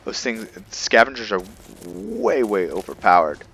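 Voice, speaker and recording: A man giving casual, somewhat halting commentary over gameplay as the host of a gaming video. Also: lowish voice, wildly swooping pitch, fairly bright tone, slight background noise.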